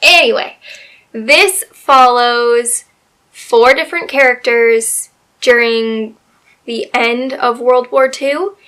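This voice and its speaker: A woman with a slightly raspy voice, still getting over a cold, chats about her month's reading. She talks in a bright, somewhat high register, gushing and loose, full of little fillers. It sounds like a relaxed, personal book review. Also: slow. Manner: chatty and gushing, many fillers. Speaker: book video host. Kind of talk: casual book review chat.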